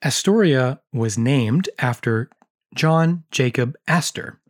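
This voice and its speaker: A man co-hosting a podcast in casual chat, slightly hoarse, joking and full of history asides. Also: mid-range voice, lively intonation, slow pace. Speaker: podcast co-host.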